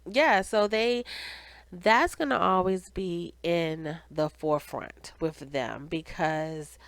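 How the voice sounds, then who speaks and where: A woman's voice: lowish voice, takes it slowly, no room sound. A woman with a nasal, congested voice giving a chatty, casual TV recap straight to her viewers. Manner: chatty, conversational, addressing viewers directly. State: congested with cold or allergies. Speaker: video reviewer and channel host.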